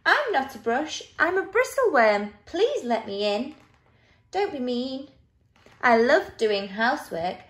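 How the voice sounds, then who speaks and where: A woman's voice: high-pitched, sing-song, slow, echoey room, heavily acted. Woman as an eager, coaxing little bristleworm. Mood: pleading. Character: eager, house-proud little bristleworm, friendly peacemaker.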